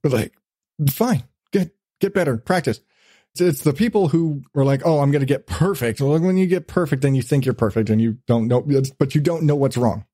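A man's voice: mid-range voice, expressive, normal speed, mellow, dry recording, studio quality. A man hosting a podcast in a casual, profane chat, telling things animatedly with acted-out asides. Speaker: podcast host.

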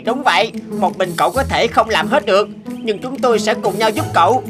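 A woman voicing a brave, kind little toad in an animated fairy tale, earnest and reassuring. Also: mid-range voice, fairly quick, music in the background, close to an everyday voice.

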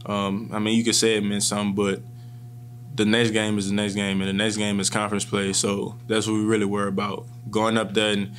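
Young guy at a post-game presser, low flat calm voice, lots of restarts, table mics. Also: a little hiss or hum. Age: 20s. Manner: calm and measured, with frequent restarts. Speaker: college football player. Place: press room in front of a sponsor backdrop. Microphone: table microphones in front of him.

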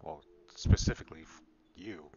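A man in casual improvised fantasy roleplay, playing a caring, easygoing friend and talking in halting phrases. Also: highs cut off, crowd noise. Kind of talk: improvised virtual-reality fantasy roleplay chat.

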